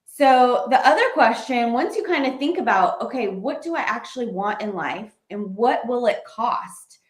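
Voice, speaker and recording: A woman's voice: a little high, moderate pace. A woman co-hosting a casual advice chat, explaining steadily and listing practical questions. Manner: steady, explanatory, lists questions, light laughs. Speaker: co-host. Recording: roomy echo.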